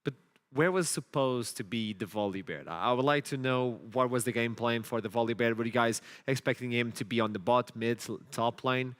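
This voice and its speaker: A man hosting a live esports broadcast desk, talking in a lively way and often repeating words as he starts a sentence. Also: medium pitch, brisk.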